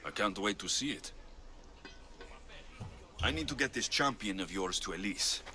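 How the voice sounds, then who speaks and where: An actor playing a gruff, impatient Spartan mercenary ship captain in a video game, curt, wry and commanding. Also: male voice, fairly low pitch, expressive, moderate pace, bright, forceful tone. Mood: dry, businesslike. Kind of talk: video game character acting.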